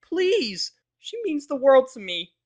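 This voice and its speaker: Pony businessman and distraught dad played by a male reader, strained high pitch, pleading, halting, fan audio drama. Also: very animated, exaggerated voice. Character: proud business stallion, a desperate, pleading father. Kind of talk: fan fiction audio drama character acting.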